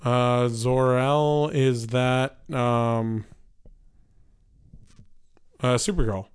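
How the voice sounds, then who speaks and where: A man's voice: medium pitch, steady tone, very slow, clean tone, no echo, studio quality. A man casually guessing answers in a chat, hesitant and jokey, with many fillers. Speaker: guest.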